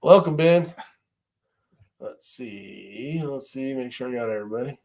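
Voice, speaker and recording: A man's voice: medium pitch, lively intonation, unhurried, darkish tone. A man hosting a casual live-stream chat, talking in an informal, loose and rambling way. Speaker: host of a shooting live chat show.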